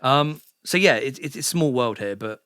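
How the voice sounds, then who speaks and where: A man's voice: medium pitch, expressive, moderate pace, fairly bright tone, smooth, no echo. A man from the UK, a podcast guest in a sauna house, chatting casually and rambling with many fillers and restarts. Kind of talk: casual chat.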